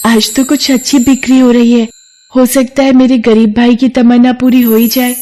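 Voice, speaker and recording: A woman's voice: a little high, level tone, moderate pace, warm tone, voice pushed up, lightly acted. A woman voicing an earnest, emotional poor young girl in a moral story, with a plaintive manner. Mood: hopeful.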